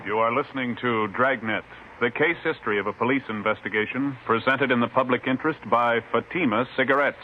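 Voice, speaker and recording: A man reading a scripted 1950s radio sponsor announcement in a formal, measured, polished way. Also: medium pitch. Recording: muffled vintage radio with faint noise.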